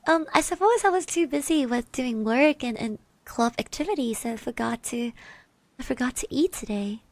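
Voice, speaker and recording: A woman playing a shy, caring schoolgirl classmate in a soft, partly whispered ASMR roleplay, speaking hesitantly and bashfully with gentle encouragement. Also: fairly high pitch, moderate pace, no room sound, close to an everyday voice. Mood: embarrassed.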